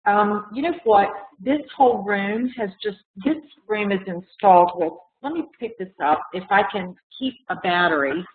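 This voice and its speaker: A woman's voice: mid-range voice, expressive, normal speed, hardly any pauses. Woman collector chatting away on a webinar, tons of ums, voice going, sounds like a phone. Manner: chatty, rambling, many fillers and restarts. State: losing her voice, coughing. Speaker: art collector. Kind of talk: casual webinar talk. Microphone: video call. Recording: echoey room over tinny video call.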